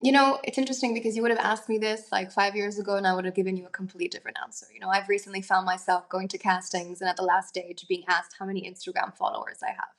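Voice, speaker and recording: A woman's voice: mid-range voice, level tone, fast talker, creaky, few pauses. A young adult woman, an actress and singer, talking earnestly in a conversational interview, musing aloud with lots of fillers.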